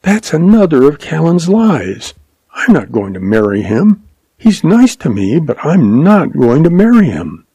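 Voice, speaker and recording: A gentle young woman of the Old West, read by a man, with a raised and softly mellow voice. She sounds earnest and reassuring, as in an audiobook western. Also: a little high, big pitch swings, unhurried, husky, dry recording, voice pushed up, full character voice. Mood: tender, reassuring.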